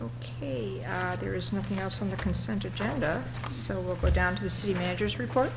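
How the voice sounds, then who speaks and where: A woman with a raspy voice chairing a city commission meeting in a friendly, chatty way. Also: medium pitch, a little fast. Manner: friendly, chatty, laughs between remarks. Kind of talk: chairing a public meeting, casual remarks. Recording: noisy public meeting room recording.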